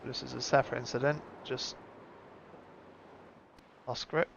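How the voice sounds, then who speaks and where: A man's voice: mid-range voice, fairly even intonation, measured pace, creaky. A man hosting a live sim racing stream with casual, conversational commentary, thinking aloud as he goes. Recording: muffled lo-fi audio with background noise.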